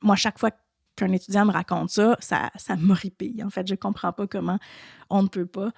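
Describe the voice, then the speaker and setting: A woman's voice: medium pitch, fairly even intonation, fairly quick, gravelly, darkish tone, husky, no room sound, clean studio sound. A woman with a Quebec French accent, hosting a podcast as a French teacher and talking casually to listeners with many restarts. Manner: conversational, many restarts and "tu sais" fillers. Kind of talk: casual solo podcast talk.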